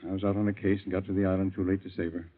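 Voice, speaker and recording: A man's voice: sits low, level tone, very fast. An actor playing a stern, proud older surgeon and father in a radio drama, with a curt, authoritative manner. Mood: cold, clinical. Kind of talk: 1940s radio acting. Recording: tinny, band-limited old radio recording.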